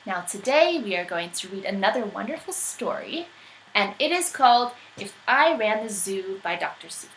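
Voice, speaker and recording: A woman's voice: mid-range voice, very animated, moderate pace, a bit bright, reverberant, slight background noise, rough recording. A woman who reads storybooks to children, greeting her young listeners directly in simple, friendly phrases.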